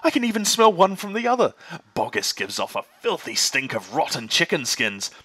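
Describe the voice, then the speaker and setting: A clever, cocky father fox, warm toward his mate and sure of himself, as a male storyteller puts him on. The voice is bright and dry, lightly lifted and charming. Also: on the high side, big pitch swings, moderate pace, breathy edge, no room sound, voice pushed up, full character voice. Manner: confident, affectionate.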